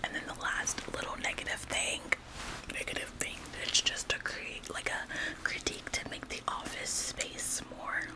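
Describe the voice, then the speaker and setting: A woman's voice: moderate pace, close to an everyday voice, rough recording. A woman playing an overeager, bossy, relentlessly upbeat female office worker in a whispered ASMR roleplay, with a passive-aggressive, falsely modest manner. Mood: politely critical. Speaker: ASMR performer.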